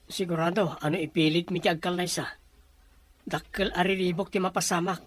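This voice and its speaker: A man's voice: sits high, steady tone, a little fast. A man playing a cautious, respectful and worried grown son in a radio drama.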